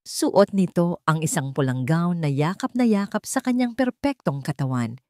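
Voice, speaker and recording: A woman's voice: fairly low pitch, animated, normal speed, dark tone, dry recording, studio quality. A woman with a Filipino accent narrating a scripted romantic drama story in a dramatic storytelling style.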